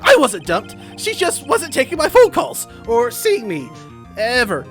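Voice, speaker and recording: A man puts on a dim, indignant young slacker in a courtroom game. The voice swings widely in pitch, whining and dragging out words with slangy melodrama. Game music plays underneath. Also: wildly swooping pitch, unhurried, gravelly, a bit bright, full character voice. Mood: defensive, indignant. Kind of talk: video game role-play.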